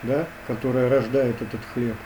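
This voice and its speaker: A man's voice: mid-range voice, level tone, normal speed, background noise. A man speaking as a guest in a philosophical interview, earnest and lecturing, often circling back to the same phrases.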